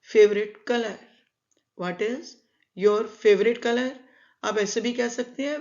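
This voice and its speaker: Slow, gravelly Indian woman teaching English. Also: medium pitch. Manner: slow, deliberate repetition of model sentences. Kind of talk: spoken English lesson. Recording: slightly dull lo-fi sound.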